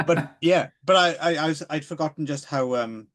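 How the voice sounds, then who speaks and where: A man's voice: on the high side, moderate pace, fairly bright tone. A man co-hosting a podcast, chatting casually and rambling about films, with many hesitations and asides. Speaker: podcast co-host.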